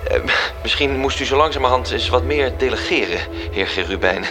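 An actor playing a dutiful bureaucratic angel reporting to a superior, formal and deferential, in a radio drama. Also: male voice, medium pitch, lively intonation, brisk, natural voice. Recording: music behind the voice. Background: music.

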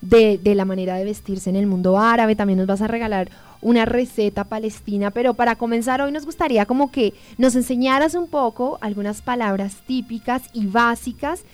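Woman radio host guiding an interview. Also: fairly high pitch. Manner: friendly, guiding, restates points for listeners.

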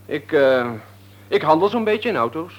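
A man's voice: fairly high pitch, big pitch swings, normal speed. An actor playing a cocky, evasive young man in a radio play, speaking casually and nonchalantly. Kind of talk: Dutch radio play acting.

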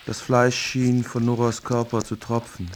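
A man's voice: mid-range voice, flat delivery, measured pace, slight background noise, natural voice. An actor playing a young man who narrates a bleak love tragedy in a matter-of-fact way, in a literary radio drama. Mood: grim narration. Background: music behind the voice.

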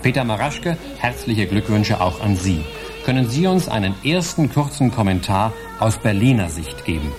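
An actor playing a formal, measured television presenter in a scripted radio drama. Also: male voice, lowish voice, very animated, over music. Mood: polite, congratulatory. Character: formal male television sports presenter and marketing consultant.

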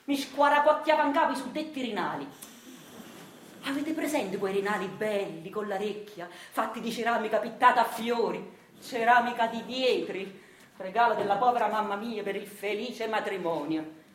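A woman's voice: medium pitch, normal speed, roomy sound, slight background noise, close to an everyday voice. A woman playing a meek, battered Neapolitan accountant husband, confiding plaintively and hesitantly in a radio monologue. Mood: plaintive, resigned. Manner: plaintive, hesitant, repeating himself, confiding to listeners.

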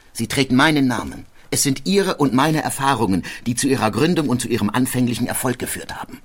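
An actor playing a proud, determined German inventor and entrepreneur with an assured, insistent manner in an audio docudrama. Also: male voice, medium pitch, slightly rough. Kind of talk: scripted audio docudrama dialogue.

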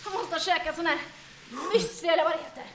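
An actress playing a brisk, unflappable plotter in an audio drama, wry and matter-of-fact, in an echoey room. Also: female voice, extremely high pitch, fairly bright tone. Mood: wry, practical. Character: brisk, unflappable, pragmatic woman resistance schemer. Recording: echoey room, faint noise.

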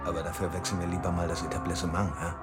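Radio drama barfly voiced by a male actor: fairly deep, jovial, smooth-talking man, music behind. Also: level tone, very fast, over a noisy crowd, lightly acted. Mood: confiding. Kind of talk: German radio play acting.

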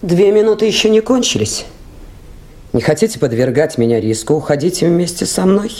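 A woman's voice: fairly low pitch, expressive, a little fast, natural voice. An actress playing a stubborn, plain-spoken river woman in a radio play, with a blunt, dry, defiant delivery. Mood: defiant, challenging. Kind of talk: 1980s radio play acting. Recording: faintly noisy 1980s radio drama recording.

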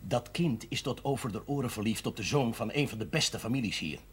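Levendige, vriendelijke katholieke priester. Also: male voice, medium pitch, lively intonation, a little fast, husky. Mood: calm, persuasive. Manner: informal, reasoning, gently wry. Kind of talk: naturalistic 1970s television drama acting. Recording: audio of 1970s television broadcast, music.

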